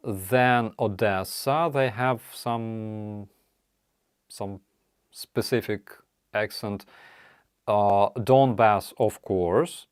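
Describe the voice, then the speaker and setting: A man with a Ukrainian accent hosting a casual live chat, answering viewer questions in halting, apologetic English with many restarts. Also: lowish voice, clear, resonant tone, no room sound, studio quality. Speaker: livestream host.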